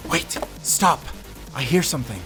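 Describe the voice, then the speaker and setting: A man's voice: mid-range voice, animated, measured pace, natural voice. An actor playing an earnest, protective young man in a cinematic action audio drama, emotional and urgent. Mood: urgent, frightened. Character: earnest, protective young man fighting beside his injured lover. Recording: dramatic music behind the voice.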